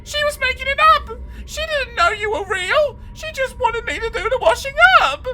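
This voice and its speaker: A man voicing a terrified young girl in a shrill, sobbing falsetto. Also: little pitch movement, slow pace, background noise.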